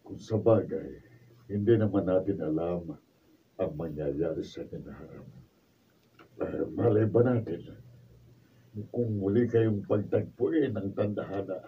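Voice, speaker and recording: A reflective, kindly older father in a Filipino radio drama. His voice is low and gentle. The sound is slightly dull, with faint noise. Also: measured pace, pauses often, distant mic. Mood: musing, concerned. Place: radio drama recording studio, behind-the-scenes video.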